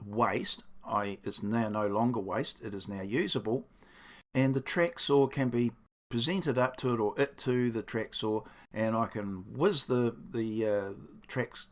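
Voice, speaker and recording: A man with a croaky voice explaining his workshop build in a casual, rambling way, correcting himself as he goes. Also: medium pitch. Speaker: woodworking channel host. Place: home workshop.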